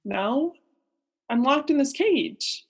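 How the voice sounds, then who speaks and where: Woman playing clueless male oceanographer, high-pitched, swooping intonation, earnest, melodramatic, radio play. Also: lively intonation, slow, heavily acted. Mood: bewildered, defensive. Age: young adult. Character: naive, whiny, oblivious male oceanographer. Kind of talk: student radio play acting.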